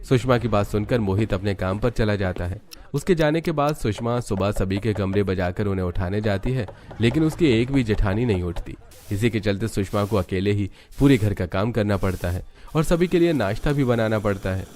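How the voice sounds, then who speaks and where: A man's voice: lowish voice, normal speed, a little mellow. A man narrating a scripted story as the storyteller.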